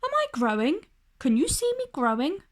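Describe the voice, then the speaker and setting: A woman's voice: sits high, big pitch swings, moderate pace. A woman playing a small young male monkey in a children's storybook, eager, stubborn and impatient. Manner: stubborn, pleading.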